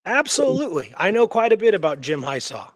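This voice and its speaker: A man with a fairly high voice co-hosts a casual history discussion. He talks conversationally, thinking aloud with frequent "you know"s. The sound is very dry, with no room around it. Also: sing-song, fairly quick, no room sound. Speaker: co-host of a history show.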